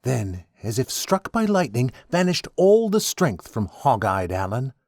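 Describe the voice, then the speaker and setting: Male poetry reader, animated melodic range, measured pace, dramatic delivery. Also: mid-range voice, no room sound, clean studio sound. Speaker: poetry reader in a group recording. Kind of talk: dramatic mock-epic verse reading.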